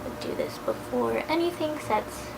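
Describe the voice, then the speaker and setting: A woman in her thirties with a cold, a little nasal, giving a chatty makeup tutorial at home in a casual voice that now and then drops to a soft near-whisper. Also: sits high, normal speed, creaky, very noisy. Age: 30s. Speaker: beauty vlogger.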